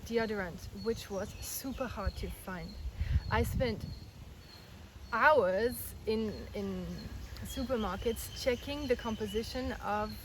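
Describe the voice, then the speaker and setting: Woman, fairly high voice, earnest and patient, interviewed outdoors with clear noise behind. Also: slow. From Belgium, raised in France. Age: 30s. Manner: earnest, explains step by step with many restarts. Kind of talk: casual explanatory interview answers. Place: outdoors in India.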